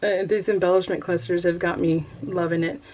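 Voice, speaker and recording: A woman's voice: lowish voice, steady tone, a little fast, a little mellow, poor audio. An American woman chatting casually to viewers as a craft vlogger, rambling and giggly, poking fun at herself and often trailing off mid-thought. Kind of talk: casual chat to viewers while showing a craft project.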